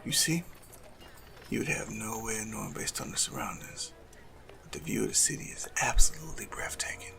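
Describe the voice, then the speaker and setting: A man playing a suave, cunning assassin in love, speaking softly and formally in a romantic audio roleplay with a gravelly creak. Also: mid-range voice. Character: lovestruck male assassin, suave, cunning, tenderly courting a noble. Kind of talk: romantic audio roleplay acting addressed to the listener.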